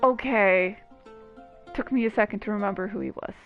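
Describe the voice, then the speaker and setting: A woman's voice: mid-range voice, normal speed, phone-quality sound, music in the background. A woman giving casual, playful, teasing commentary over a game playthrough. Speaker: gaming video commentator.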